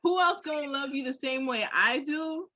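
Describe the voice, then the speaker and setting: High-voiced woman, emphatic and close-sounding. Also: moderate pace, bright, forceful tone, no room sound, dull audio. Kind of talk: candid casual chat.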